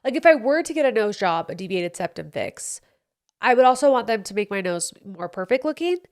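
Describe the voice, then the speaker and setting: A woman's voice: medium pitch, animated, a little fast. Young woman, stuffy nose, casual podcast chatter, candid, playful, close studio mic. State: congested. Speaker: podcast host. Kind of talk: casual solo podcast chat.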